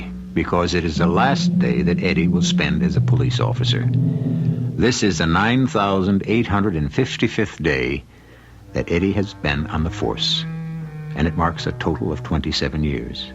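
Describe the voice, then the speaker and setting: A man narrating an old-time radio mystery in a deliberate, solemn, portentous manner. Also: low voice. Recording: dull, lo-fi radio recording. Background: music.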